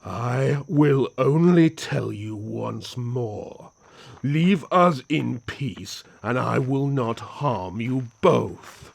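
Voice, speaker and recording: A man playing a courteous but menacing vampire count, narrating his tale and speaking slowly, formally and calmly. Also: mid-range voice, gravelly, no room sound, exaggerated voice. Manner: slow, formal, calm and politely threatening. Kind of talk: first-person storytelling and audio drama.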